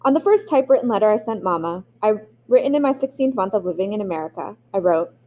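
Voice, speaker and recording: A woman reads a memoir aloud at a medium pitch. She reads expressively and sometimes catches on a word and starts it again. Her voice sits in an ordinary middle range for a woman. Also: fast, lo-fi sound. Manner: expressive reading with occasional restarts. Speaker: audiobook reader.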